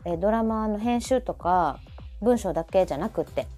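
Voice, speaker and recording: A woman's voice: medium pitch, lively intonation, normal speed, dark tone. A woman with a Kansai accent hosting a casual live chat, talkative and often chiming in with repeated short responses. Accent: Kansai Japanese. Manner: chatty, frequent repeated backchannels. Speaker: talk show host. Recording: background music behind the talk. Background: background music.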